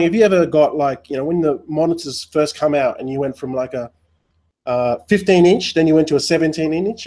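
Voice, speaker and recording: A man's voice: a little high, a little mellow. A man hosting a live webinar, talking loosely with lots of fillers and a friendly manner. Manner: rambling, many fillers, friendly. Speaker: webinar host.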